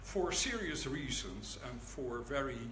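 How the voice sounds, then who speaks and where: An older man with a Dutch accent gives a public lecture as a rabbi, speaking in a wry, deliberate way and pausing for the audience's laughter. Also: medium pitch, big pitch swings, fairly bright tone. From Netherlands. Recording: echoey hall, audible room noise.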